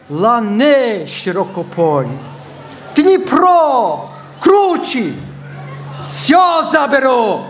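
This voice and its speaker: A man's voice: extremely high pitch, full character voice. A man acting a pompous, melodramatic dictator in a satirical stage monologue in verse. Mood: menacing, boastful.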